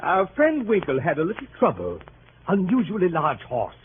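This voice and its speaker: An actor in 1930s radio style playing an earnest, gallant gentleman with a formal, courteous manner. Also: sits high, wildly swooping pitch, normal speed, narrow, tinny audio. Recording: thin, noisy 1938 radio broadcast.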